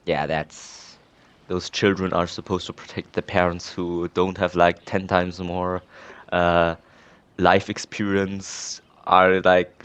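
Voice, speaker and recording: Deep-voiced young man, sarcastic gamer. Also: a bit bright. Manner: halting, sarcastic, repeats words. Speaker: gamer recording a playthrough. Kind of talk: casual game commentary. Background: faint game music.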